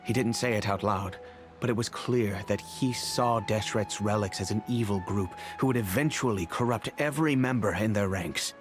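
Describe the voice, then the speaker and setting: An actor playing a fatherly middle-aged merchant who speaks in a measured, reflective, remorseful way, over faint music. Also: on the low side, lightly acted. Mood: thoughtful. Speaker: wealthy patron and father figure. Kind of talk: video game voice acting. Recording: game audio with faint music.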